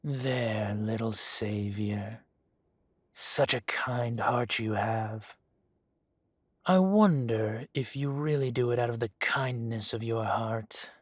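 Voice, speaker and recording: A man voicing a menacing adult male dragon gang boss in an audio roleplay drama. He speaks softly and condescendingly, with deliberate, slow threats and hushed whispers. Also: on the low side, dry recording, clean studio sound. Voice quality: soft-spoken, silky and condescending, drops to whispers.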